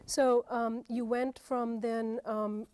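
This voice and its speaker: A woman's voice: on the high side, flat delivery, slow pace, a little mellow, clean tone. A woman interviewing a guest. She asks long, hesitant questions full of "um". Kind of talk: interview questions and a read introduction.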